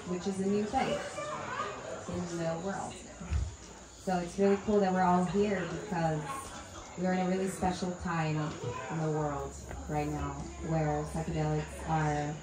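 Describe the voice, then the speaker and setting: A young woman from Brazil with a Brazilian Portuguese accent, telling personal stories and asking questions as she leads a workshop circle. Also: low voice. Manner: conversational, personal storytelling with audience questions. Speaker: integration coach and workshop facilitator. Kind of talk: talk to a live workshop circle. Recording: echoey room with loud crowd. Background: loud crowd noise.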